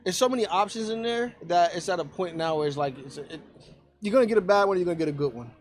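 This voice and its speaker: A man's voice: sits high, quick pace. A man co-hosting a podcast in casual, rambling chat, laughing often. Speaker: podcast co-host.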